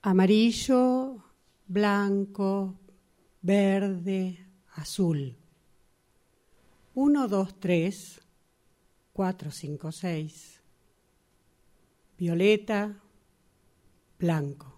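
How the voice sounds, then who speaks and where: A woman's voice: medium pitch, very slow, pauses often, dark tone. A woman doing a radio acting exercise, reciting words one at a time. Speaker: radio drama workshop student.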